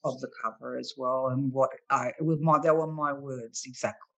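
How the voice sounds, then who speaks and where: An Australian woman, the guest author, talking through her book in a loose, halting way, with plenty of fillers. Her voice is quite low for a woman. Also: poor audio. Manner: animated, hesitant, many fillers. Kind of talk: casual interview chat.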